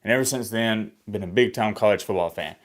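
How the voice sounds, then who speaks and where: A man, rambling through casual sports commentary to his viewers with lively, rising emphasis and the odd sigh. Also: a little fast. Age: 20s. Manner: animated, rising pitch when emphatic, sighing. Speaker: sports commentator and fan vlogger.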